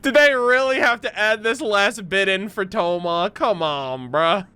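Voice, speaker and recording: A man's voice: sits high, a little slow, sharp tone, slight background noise. A man casually reacting to a game as a streamer, laid-back and slangy, talking over the cutscenes.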